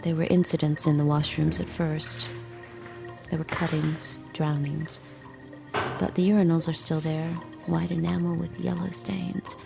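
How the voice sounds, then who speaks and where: The haunted heroine of an audio drama. Her voice is fairly low with a creaky edge, intimate and intense over music. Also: level tone, a little slow, exaggerated voice. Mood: somber. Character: haunted, intimate young woman narrator-heroine, quietly intense. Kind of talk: dramatized first-person narration.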